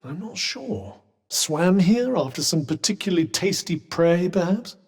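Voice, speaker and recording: An actor playing a haughty, well-spoken talking magic cuff in a video game, with a British accent and dry, sarcastic, formal delivery. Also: male voice, sits high, animated, unhurried, husky, full character voice. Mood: measured, wry. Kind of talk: scripted video game companion dialogue.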